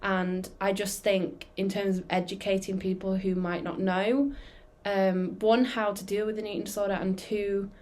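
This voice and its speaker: A woman's voice: mid-range voice, level tone, normal speed, a little mellow. A twenty-one-year-old woman introducing her documentary in a casual, chatty way, with frequent "um" fillers. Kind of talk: casual self-introduction to camera.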